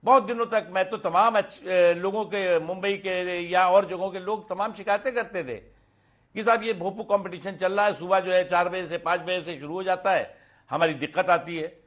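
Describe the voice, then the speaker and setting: A man giving off-the-cuff political remarks in a colloquial, halting way, often repeating words. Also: high voice, fairly even intonation, fairly bright tone.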